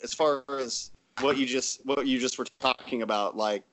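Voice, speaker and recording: A man co-hosting a podcast, chiming in with jokes and quick questions. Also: mid-range voice, steady tone, a bit bright, poor audio. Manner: joking, quick asides. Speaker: podcast co-host. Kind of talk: casual chat.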